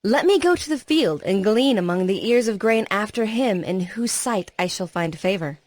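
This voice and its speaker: A woman's voice: medium pitch, animated, moderate pace, dry recording, studio quality, lightly acted. A woman playing a devoted, resolute young widow in a dramatized audio Bible, speaking earnestly and emphatically.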